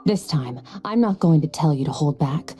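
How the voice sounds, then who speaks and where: A woman playing an aloof, stoic young warrior and mentor in a video game, speaking in a cool, measured, matter-of-fact manner. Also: sits low, lively intonation, mellow, soft background music. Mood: resolute. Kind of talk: video game character acting.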